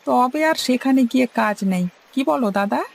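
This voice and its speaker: Creaky, high-pitched young boatman's helper voiced by a woman. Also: fairly quick. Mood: questioning, unsure. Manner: questioning, respectful, slightly fretful. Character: eager, deferential young boatman's helper Kalu, male. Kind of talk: Bengali cartoon story dialogue. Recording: faint background noise and ambience.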